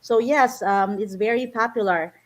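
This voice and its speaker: A woman's voice: medium pitch, normal speed. A middle-aged woman from the Philippines, a panelist giving earnest answers with everyday examples. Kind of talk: panel discussion.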